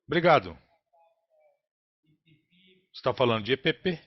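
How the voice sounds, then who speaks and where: A man with a Brazilian accent interprets a business earnings call live, in a halting, phrase-by-phrase delivery. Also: mid-range voice, lively intonation, slightly rough. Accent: Brazilian Portuguese. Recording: dull, lo-fi conference call audio.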